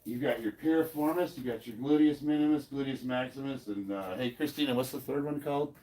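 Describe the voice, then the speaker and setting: A man's voice: mid-range voice, brisk, mellow, poor audio. A man in his fifties who is stuffed up and short of breath, talking loosely and rambling as he coaches a training session. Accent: American. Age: 50s. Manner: loose and rambling, trailing off mid-sentence. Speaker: powerlifting coach. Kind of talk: casual training vlog.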